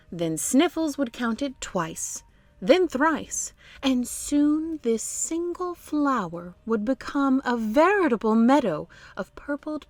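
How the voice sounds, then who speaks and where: A woman's voice: high-pitched, darkish tone, no room sound. A woman hosting a children's read-along, narrating expressively and speaking directly to young listeners. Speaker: storytime host and narrator.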